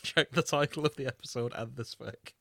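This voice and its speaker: A man's voice: medium pitch, a little fast, vocal fry, fairly bright tone, no echo. A man co-hosting a podcast in casual, joking chat. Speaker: podcast co-host and reader.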